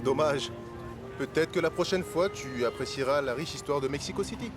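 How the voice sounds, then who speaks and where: A man's voice: on the high side, a little fast, lightly acted. A man playing a wry, bookish uncle in a cartoon. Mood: wry, disappointed. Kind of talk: cartoon dialogue. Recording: music behind.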